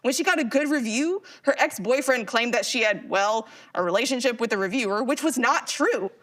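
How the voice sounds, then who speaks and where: A woman's voice: fairly high pitch, expressive, brisk, fairly bright tone. A woman playing a geeky, chatty modern character in a live audio drama, explaining things in a slangy, casual way. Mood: guarded, upset.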